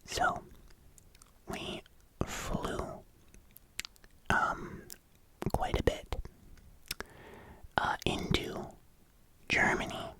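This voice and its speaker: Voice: very slow, pauses often. A young adult ASMR creator whispering a rambling voiceover into a binaural mic, congested, with clicky mouth sounds and many fillers. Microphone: binaural microphone.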